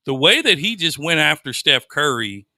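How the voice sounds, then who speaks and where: A man with a loud voice co-hosting a podcast, teasing and arguing about basketball. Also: on the high side, very animated, normal speed. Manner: teasing, sarcastic interjections. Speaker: podcast co-host. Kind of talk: heated sports debate.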